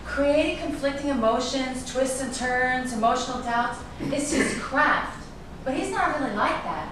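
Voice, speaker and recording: Woman acting a defiant, high-voiced woman, very echoey. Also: measured pace. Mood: cool, wry. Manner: sarcastic, cutting, confrontational. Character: defiant, guarded actress in love with a suspected man. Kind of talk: staged reading of a stage drama. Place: echoey room at a staged reading. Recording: echoey room, distant mic, noisy background.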